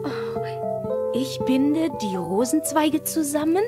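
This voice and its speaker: A small girl in a fairy-tale record drama. Her voice is high and slow-paced, childlike and affectionate, a little imperious at play. Music plays clearly behind her. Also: very animated, full character voice. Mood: playful. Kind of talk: German fairy-tale audio drama acting.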